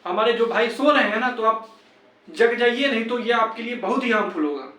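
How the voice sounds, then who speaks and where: Young male campaigner, high voice, urging peers insistently with a reproachful edge; recorded echoey and off-mic. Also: lively intonation, fairly quick, bright, forceful tone. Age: young adult. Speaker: exam candidate and campaign organiser. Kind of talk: motivational appeal to fellow candidates. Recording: echoey room, distant microphone.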